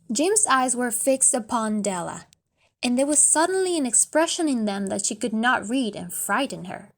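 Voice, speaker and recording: Female audiobook narrator: fairly high voice, careful and deliberate scripted storytelling. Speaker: audiobook reader.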